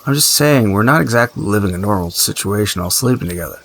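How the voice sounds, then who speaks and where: An actor playing a practical, blunt but uneasy survivor and tinkerer in an audio drama, talking in a halting, gruffly casual, profane way. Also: male voice, fairly low pitch, lively intonation, fairly bright tone, heavily acted. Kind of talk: audio drama dialogue, naturalistic.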